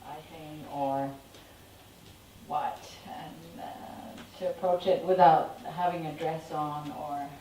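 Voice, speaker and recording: Low-voiced woman, hesitant, echoey hall. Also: steady tone, slow, warm tone, a little hiss or hum. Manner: hesitant, trailing off mid-thought. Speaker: costume designer. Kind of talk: guest answering at a film Q&A. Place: cinema hall. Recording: echoey cinema hall from far off.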